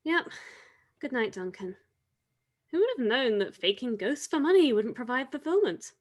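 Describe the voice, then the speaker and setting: A woman's voice: on the high side, big pitch swings, moderate pace. A woman playing a devout, teasing island woman in an audio drama table read, speaking in a dry, reflective way. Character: wry, devout island woman, teasing grave-robbing companion.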